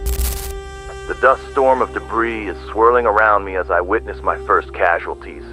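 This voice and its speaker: An actor playing a doomed, steadfast field reporter giving a grave, poetic eyewitness account in an audio drama. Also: male voice, on the low side, steady tone, a little slow, bright, forceful tone, low-quality recording. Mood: grave awe. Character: doomed male field reporter witnessing the world dissolving, awestruck, steadfast. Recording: music under the voice. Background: music.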